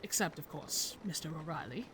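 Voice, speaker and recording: A woman's voice: low voice, animated, normal speed, fairly bright tone, a little hiss or hum. A woman playing a prim, polite lady who dotes anxiously on her cat in a scripted audio drama. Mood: flustered, fond.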